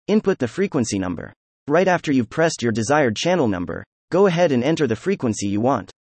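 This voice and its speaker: A computer-generated male voice reads a how-to guide aloud in a steady instructional way. His pitch moves around a lot, and the recording is dry, with no room sound. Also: fairly quick, dry recording. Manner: even instructional delivery with lively pitch. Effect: synthetic text-to-speech voice. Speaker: narrator. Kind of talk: scripted article reading.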